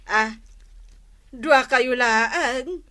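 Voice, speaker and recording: A woman with an Ilocano accent playing a fussy, excitable old mother-in-law in a radio comedy-drama, full of drawn-out exclamations. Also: fairly high pitch, full character voice. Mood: nagging, sulky.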